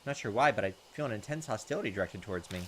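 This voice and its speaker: A man hosting a gaming video, reading visual novel text aloud and adding jokey, expressive, sometimes profane commentary. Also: lowish voice, rapid-fire, slight background noise, rough recording. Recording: loud game music behind the voice. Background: game soundtrack.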